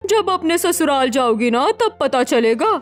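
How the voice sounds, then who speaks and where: A woman's voice: high-pitched, very animated, measured pace. A woman voicing a scolding middle-aged household aunt in a cartoon story, admonishing.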